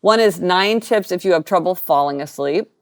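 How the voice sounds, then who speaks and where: A middle-aged woman co-hosting a podcast, her voice sitting a little low for a woman. She talks in a casual, upbeat way, exclaiming often and running through links and resources. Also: normal speed. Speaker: podcast co-host.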